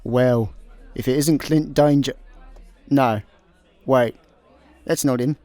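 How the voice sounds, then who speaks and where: An actor playing a dim, laid-back intergalactic slacker on the run in a comedic sci-fi radio play, deadpan, with a Scottish accent. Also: male voice, mid-range voice, slow pace, no echo, soft background music, a faint crowd, lightly acted. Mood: deadpan banter.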